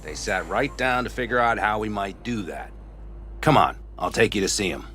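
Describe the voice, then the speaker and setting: Folksy nomad veteran man, low voice, plain-spoken, loyal, video game, music clearly behind. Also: expressive, moderate pace, sharp tone. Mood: frank, grim.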